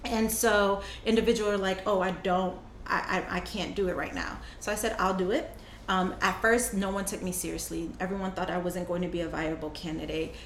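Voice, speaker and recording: A woman's voice: on the low side, fairly even intonation, a little fast. A young woman, a guest on an interview show, telling her story in an earnest, conversational way and laughing easily. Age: young adult. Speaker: guest, climate justice program manager. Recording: echoey room with faint noise.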